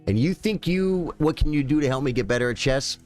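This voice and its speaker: A man's voice: fairly high pitch, animated, a little fast, darkish tone, faint music behind. A man in his late fifties, a chess streamer chatting casually and folksily with his viewers. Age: 50s. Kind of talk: casual live-stream chat.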